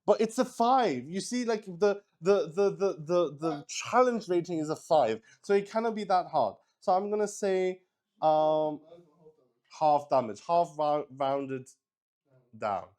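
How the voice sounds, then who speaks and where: A man hosting a solo tabletop roleplaying game in casual, halting live-stream chat, thinking aloud and repeating words. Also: a little high, expressive, darkish tone. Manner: swears casually.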